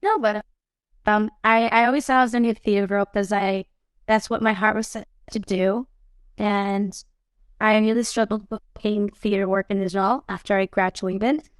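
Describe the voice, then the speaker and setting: A woman's voice: medium pitch, fairly even intonation, normal speed, clear, resonant tone, no echo. A young adult woman, an actress being interviewed, talking casually and animatedly, often saying "you know what I mean". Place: studio podcast set.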